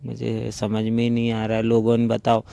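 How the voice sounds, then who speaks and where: A man's voice: on the low side, monotone, moderate pace, vocal fry, warm tone, smooth. A man playing a chatty, flirtatious unmarried brother-in-law in a staged phone-call role-play, talking in a casual, rambling, gossipy way. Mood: concerned, chiding. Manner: conversational, gossipy, rambling storytelling.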